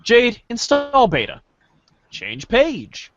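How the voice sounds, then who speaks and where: A man reading a story aloud in a playful, theatrical way, as an animated second-person narrator. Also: very high voice, slow pace, dull audio.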